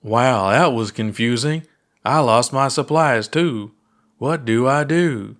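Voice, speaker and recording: A man's voice: mid-range voice, slow pace, fairly bright tone. Man acting out a playful, drawling alien astronaut. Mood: theatrical confusion. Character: laid-back goofy alien astronaut storyteller, theatrically playful.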